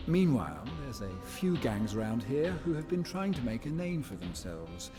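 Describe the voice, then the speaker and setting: An actor playing a shrewd male schemer in a video game cutscene, talking in a measured, wry, insinuating way. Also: mid-range voice, big pitch swings, over music, close to an everyday voice. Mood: shrewd, explaining. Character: smooth, shrewd male schemer offering bounty deals.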